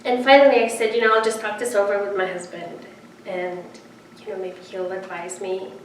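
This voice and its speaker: A woman in her thirties talks about herself in an earnest, reflective way, pausing often as she gathers her thoughts. Her voice sits in a middle register and comes from a distance in a very echoey room. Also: medium pitch, level tone, a little hiss or hum. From Romania. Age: 30s. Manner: earnest, reflective, with frequent hesitations. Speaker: seminarian. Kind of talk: personal introduction.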